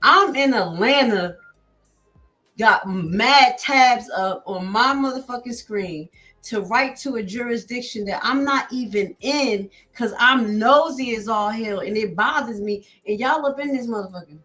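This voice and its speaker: A woman's voice: on the high side, measured pace, a bit bright, faint music behind. A woman vlogger giving blunt, profane commentary while reacting to a recording and talking straight to her viewers. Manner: addresses viewers directly.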